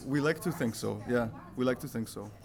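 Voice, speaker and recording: A man's voice: medium pitch, fairly quick, gravelly. Thoughtful male violinist amid crowd chatter. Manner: thoughtful, repeats himself. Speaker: violinist in a band. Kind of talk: casual interview answers. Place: music venue. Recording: busy crowd chatter behind.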